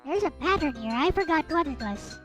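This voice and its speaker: A woman's voice: expressive, fairly quick. A woman with a girlish voice reading a visual novel aloud as a streamer, with playful casual commentary. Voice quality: high, girlish voice. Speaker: streamer, host. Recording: music behind the voice.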